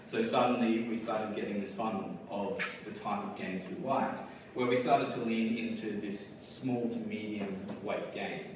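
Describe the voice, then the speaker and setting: A man's voice: lowish voice, fairly even intonation, a little slow. A man giving a casual conference talk on game design, with many fillers and small laughs. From Australia. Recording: echoey hall, speaker far from mic, audible noise.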